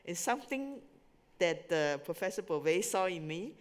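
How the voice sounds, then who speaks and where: A woman's voice: on the low side, animated, unhurried, in a large hall. Composed woman recounting her path. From born in Taiwan, grew up in Singapore. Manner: fluent, composed storytelling. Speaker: symposium speaker, scientist. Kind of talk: panel answers.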